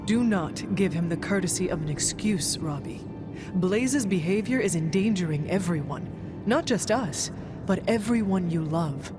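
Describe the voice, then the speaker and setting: Woman as stern warrior, lowish voice. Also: lively intonation, normal speed, natural voice. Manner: formal, without contractions. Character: stern, guarded warrior woman, a resolute hero. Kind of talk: restrained video game acting. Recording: voice under clear background music.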